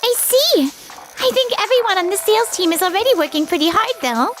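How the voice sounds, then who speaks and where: A woman's voice: very high-pitched, expressive, brisk, a bit bright. A woman voicing an earnest, polite young businesswoman who speaks frankly and reasonably in a manga dub. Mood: doubtful, reasoning.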